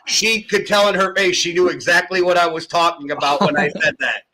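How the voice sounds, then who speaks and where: Bright-voiced American man telling stories. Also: on the high side, brisk, rough recording. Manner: storytelling, relaxed, hedging. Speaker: podcast co-host. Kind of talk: casual sports chat.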